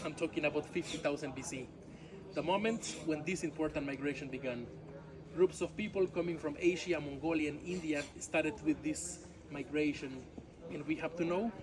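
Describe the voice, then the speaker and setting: Mexican tour guide guy, maya is his first language, joking with the group outside, slightly high voice, people chattering around. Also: rough recording. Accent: Mexican Spanish. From Yucatán, Mexico. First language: Yucatec Maya. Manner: chatty, keeps saying "my friends" and "all right?"; jokey, laughs at his own jokes. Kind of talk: outdoor walking tour talk. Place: outdoors among ruins, tour group around. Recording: outdoor crowd of tourists behind.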